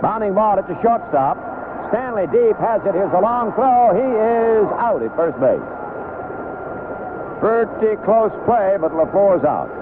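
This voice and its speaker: A man announcing baseball play-by-play on the radio in a conversational way, with clipped phrases between pauses. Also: high voice, animated. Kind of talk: live play-by-play commentary. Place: broadcast booth at a baseball stadium. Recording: noisy lo-fi 1970s AM radio broadcast.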